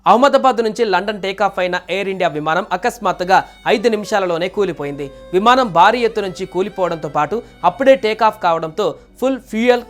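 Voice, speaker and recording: A male news narrator reads a scripted report in a high voice. His delivery is quick, and light music plays behind him. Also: fairly bright tone. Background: background music.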